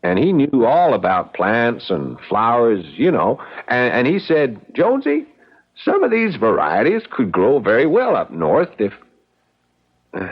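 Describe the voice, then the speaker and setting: Stammering young country ex-soldier, lively pitch. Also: male voice, unhurried, breathy edge, heavily acted. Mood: rambling. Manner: hesitant, stammering, rambling and defensive. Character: nervous young rural ex-soldier accused of murder. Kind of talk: old-time radio drama acting. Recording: dull lo-fi radio drama recording.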